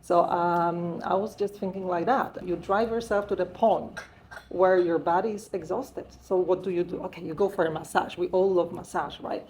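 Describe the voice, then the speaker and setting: A woman's voice: fairly low pitch, fairly even intonation, moderate pace, darkish tone. Woman, Polish accent, 40s, earnest and talkative, faint background noise. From Poland. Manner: talkative, many "uh" fillers, earnest. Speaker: guest, wellbeing strategist. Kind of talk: interview, personal story.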